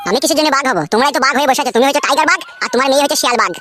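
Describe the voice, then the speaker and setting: A man voicing a whiny, hot-headed young village husband in a comic cartoon, complaining crudely and excitably. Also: extremely high pitch, racing. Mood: angry complaining.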